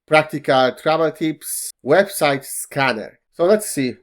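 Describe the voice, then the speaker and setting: A man whose first language is Polish walks through a web browser's features in a screen-recorded tutorial, pausing often with fillers and slightly non-native phrasing. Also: on the high side, animated. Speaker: tech tutorial host. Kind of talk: screen-recorded software walkthrough.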